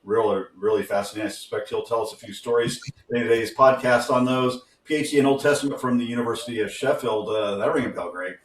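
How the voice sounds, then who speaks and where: A man's voice: mid-range voice, fast talker. Easygoing man in a roomy room, distant mic. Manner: easygoing and rambling, with frequent fillers and self-corrections. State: groggy. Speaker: podcast co-host. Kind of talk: casual interview talk.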